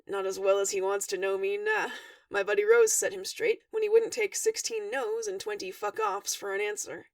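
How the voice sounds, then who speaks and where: Girl doing a cool cocky troll dude in a story, slangy n nonchalant, creaky. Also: very high-pitched, expressive, moderate pace, no room sound, far from the mic, pitched above the performer's own voice, exaggerated voice. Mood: dry, dismissive. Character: cool, cocky, laid-back young male troll.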